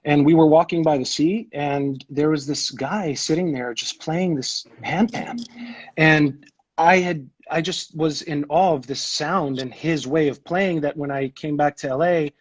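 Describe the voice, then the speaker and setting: A man's voice: a little high, measured pace, breathy edge. A young man who grew up in Ethiopia, chatting as a guest, rambling with many restarts and fillers. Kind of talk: casual video-call chat.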